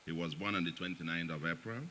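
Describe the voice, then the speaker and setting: A man's voice: sits low, steady tone, fast talker, pushed and bright, slight background noise, poor audio. A middle-aged man with a Nigerian accent, speaking to an audience at a forum in a chatty way with joking asides. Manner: chatty, joking asides, chuckles between remarks. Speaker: guest speaker at an economic forum. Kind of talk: public speech, partly read. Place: event hall, in front of an audience.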